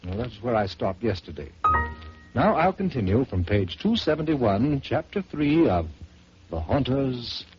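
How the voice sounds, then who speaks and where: A man hosting an old radio storytelling show, reading a ghost story aloud in a measured, theatrical way. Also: medium pitch, sing-song, loud music behind the voice. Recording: muffled old radio broadcast with hiss.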